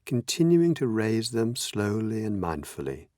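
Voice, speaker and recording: A man leading a guided meditation as a teacher, speaking gently in measured, unhurried phrases with long pauses. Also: on the low side, sing-song, dry recording, studio quality. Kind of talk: guided meditation, slow spoken instructions.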